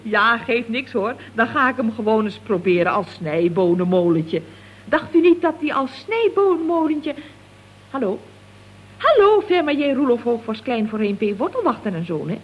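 A woman's voice: on the high side, very animated, normal speed, slightly rough. A woman playing a chatty, scatterbrained office worker in 1970s radio comedy, rambling along with giggly, naive earnestness. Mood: breezy. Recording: dull, lo-fi old radio recording.

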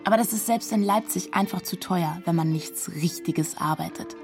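A woman as a low-voiced young academic. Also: normal speed, music in the background, natural voice. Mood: frank, resigned. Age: 30s. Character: casual, frank doctoral student in her early thirties. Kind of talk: naturalistic radio play acting.

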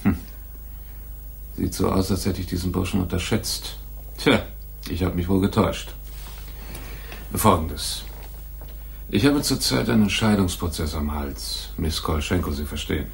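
A man's voice: sits low, creaky, slight background noise. An actor playing a big, tough, shady man in a noir radio play, skeptical and sardonic as he bargains. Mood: wry, bargaining. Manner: sardonic, wary, deliberate. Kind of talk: 1980s German radio play, noir dialogue.

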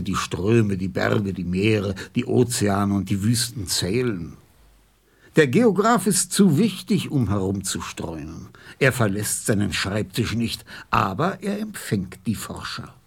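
An actor playing a pompous, pedantic, self-important old geographer in a radio play. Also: male voice, fairly low pitch, sing-song, unhurried, creaky, slightly rough, full character voice.

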